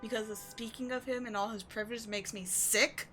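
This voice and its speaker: A woman's voice: a little high, steady tone, a little fast, bright, forceful tone, soft background music. A woman playing a bitter, passionate villain with secret devotion, speaking in a theatrical, intense, emphatic way in a dramatic audio roleplay. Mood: pained, confessing. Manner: theatrical, intense, emphatic, with sighs and dropped whispers. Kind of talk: dramatic audio roleplay monologue addressed to the listener.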